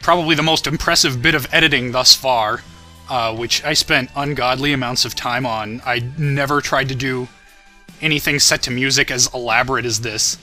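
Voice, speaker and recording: A man's voice: mid-range voice, fairly even intonation, normal speed, pushed and bright, soft background music. A young man giving casual, self-deprecating, rambling commentary on his own video. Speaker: commentator, creator of an abridged anime series.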